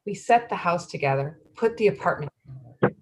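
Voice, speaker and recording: A woman's voice: fairly low pitch, lively intonation, a little fast, over music, natural voice, rough recording. A woman reading the part of a wife who wanted to evacuate, retelling it earnestly. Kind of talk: readers theatre monologue.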